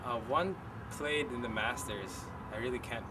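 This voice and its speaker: A young man from the Philippines, a student golfer, answering interview questions in a soft, hesitant way with many pauses. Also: mid-range voice. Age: young adult. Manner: soft-spoken, hesitant with frequent ums. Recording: distant mic, street noise.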